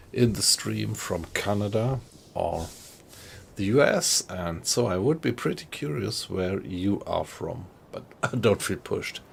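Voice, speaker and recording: A middle-aged man from Germany with a German accent, chatting in a friendly, halting way with viewers on a live stream. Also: sits low, gravelly, slight background noise. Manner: thoughtful, friendly, halting with fillers.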